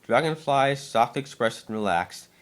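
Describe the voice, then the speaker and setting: A man's voice: mid-range voice, expressive, measured pace. A man narrating a scripted story as an amateur dramatic reading, sometimes stumbling over and repeating words.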